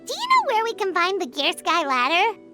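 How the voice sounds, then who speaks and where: A woman voicing a tiny, curious fairy companion in a video game with a squeaky, childlike voice. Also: wildly swooping pitch, brisk, sharp tone, soft background music, heavily acted. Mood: curious, doubtful.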